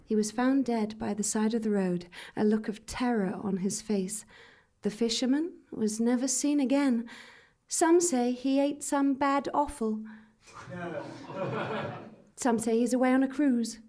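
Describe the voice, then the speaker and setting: A fairly high female voice, ominous and deliberate. She is an actress playing a troubled woman in a gothic stage drama, delivering melodramatic warnings with grave weight. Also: mellow, close to an everyday voice.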